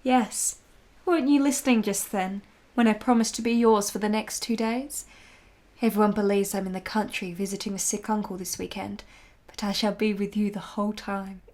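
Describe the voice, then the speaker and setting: A woman's voice: mid-range voice, steady tone, normal speed, darkish tone, lightly acted. Actress as secret lover in a 19th-century romance radio play, female, tender and self-possessed, elegant formal diction. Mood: eager, loving. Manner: genteel, formal period phrasing. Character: tender, adoring 19th-century Italian mistress, secretive and assured.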